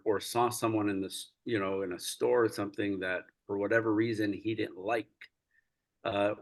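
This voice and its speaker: Earnest gravelly-voiced man lecturing. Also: on the low side, rough recording. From United States. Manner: earnest, storytelling, gets animated and laughs while recounting. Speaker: rabbi, guest speaker. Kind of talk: lecture-style talk.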